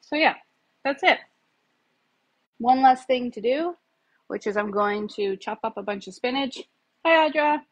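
A woman's voice: high voice, animated, measured pace, long pauses, lo-fi sound. Chatty woman cooking in her kitchen. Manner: chatty, rambling, many fillers. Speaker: home cook vlogger. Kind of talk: casual cooking demo. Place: home kitchen, indoors. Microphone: phone camera on the counter.

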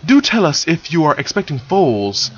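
A man's voice: a little high, sing-song, normal speed, husky, muffled recording, voice pushed up. A man playing a gushing pony princess. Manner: gushing and gleeful. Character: gushing princess, a romantic younger sister.

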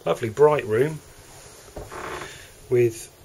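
A man with an English accent walks through the cabins of a moored motor yacht, narrating a sales tour in a relaxed, hesitant way. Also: medium pitch, slow, vocal fry, slight background noise, poor audio. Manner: relaxed, hesitant, with fillers and restarts. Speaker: yacht broker. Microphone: handheld camera mic.

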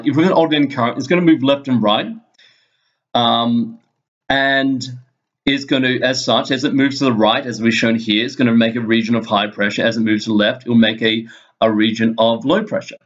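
A man teaching an informal screen-recorded lesson, explaining step by step in a halting way and thinking aloud. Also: medium pitch, muffled recording. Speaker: physics teacher. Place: indoors, screen-recorded voice-over at a computer.

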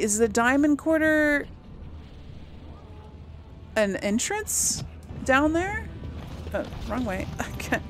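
A woman hosting a casual let's play, chatting and thinking aloud as she plays. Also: medium pitch. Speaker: gaming channel host. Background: game music.

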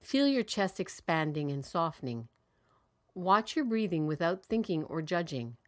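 Low-pitched woman guiding a calm meditation. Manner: calm, soothing, measured delivery with long pauses. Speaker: meditation guide. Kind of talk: guided meditation narration.

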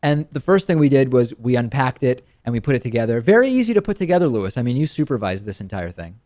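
A man's voice: medium pitch, big pitch swings, fast, warm tone, no echo. Upbeat man hosting a studio show. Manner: enthusiastic, teasing his co-host. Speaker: show host. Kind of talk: casual product review chat. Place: TV studio set. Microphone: studio mic on a stand.

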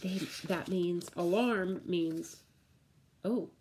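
A middle-aged woman, a preschool teacher, reading a picture book aloud to small children and explaining things simply in a deliberate, drawn-out way. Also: on the low side, darkish tone, a little hiss or hum. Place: indoors, room at home with window blinds.

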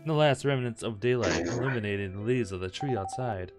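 Low-voiced man jokily reading aloud over music. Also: fairly quick. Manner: jokey, mock-dramatic, breaks off to riff. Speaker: gaming video host reading a visual novel. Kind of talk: comic read-aloud of game text with joking asides. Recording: music playing behind. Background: loud background music.

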